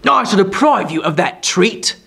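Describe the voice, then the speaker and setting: A man's voice: sits high, expressive, takes it slowly, vocal fry, a bit bright, breathy edge, heavily acted. A man voicing a spiteful, scheming dwarf who sneers and hisses his words with contempt. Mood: sneering.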